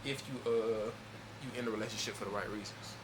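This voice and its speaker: A man's voice: fairly low pitch, a little fast, a crowd in the background, low-quality recording. A man hosting a reaction video, talking loosely and emphatically and speaking straight to his viewers. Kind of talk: casual reaction commentary. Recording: steady background noise.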